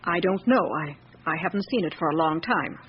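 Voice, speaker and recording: A high male voice as a guarded, evasive witness in an old radio mystery. He answers hesitantly and defensively. The sound is dull, with some noise behind it. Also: lively intonation, a little fast. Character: guarded, evasive household witness under questioning. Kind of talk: classic radio drama acting. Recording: muffled, noisy vintage radio recording.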